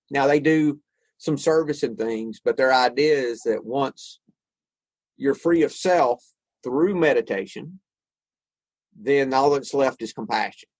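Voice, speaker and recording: A man's voice: mid-range voice, no echo, rough recording. A man, thinking aloud in a casual podcast conversation about recovery and spirituality. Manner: reflective, thinks aloud with frequent restarts. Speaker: podcast co-host.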